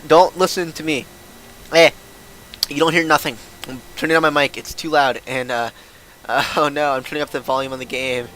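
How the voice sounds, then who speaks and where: Lisping young male streamer, higher-pitched voice. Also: steady tone, normal speed, sharp tone, a little hiss or hum, rough recording. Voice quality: lisp. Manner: rambling, slangy, self-mocking. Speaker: game streamer. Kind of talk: casual livestream chat while gaming. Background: video game music.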